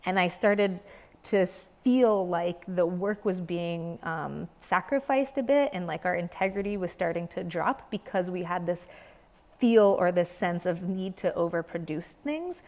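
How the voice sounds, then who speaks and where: A woman's voice: lowish voice, measured pace, a little mellow. A young adult woman, a dance company director, speaking earnestly in an interview and searching for her words. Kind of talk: reflective interview talk. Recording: reverberant hall.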